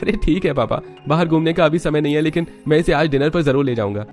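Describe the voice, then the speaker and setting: Man voicing an eager young husband, raised pitch. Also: fast talker, darkish tone, soft background music, close to an everyday voice. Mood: mildly defensive. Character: excited young husband and son, cheerful and affectionate. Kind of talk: cartoon story character voice.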